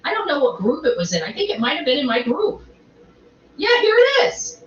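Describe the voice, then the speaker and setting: A woman giving rambling livestream commentary, halting and repeating herself for emphasis. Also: high voice, huge pitch swings. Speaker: online commentator. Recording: roomy, muffled, faint background noise.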